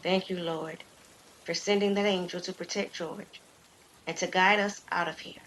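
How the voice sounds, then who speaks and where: A woman voicing a gentle, devout and caring young woman hiker in an audio story. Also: fairly low pitch, steady tone, normal speed, a little hiss or hum, natural voice, rough recording. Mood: prayerful. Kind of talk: audio story character dialogue.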